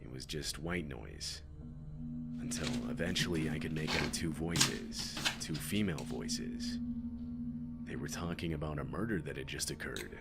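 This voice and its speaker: Male horror narrator, extremely low register, scripted first-person storytelling over a clear music bed. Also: expressive, measured pace. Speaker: narrator of true scary stories. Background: background music.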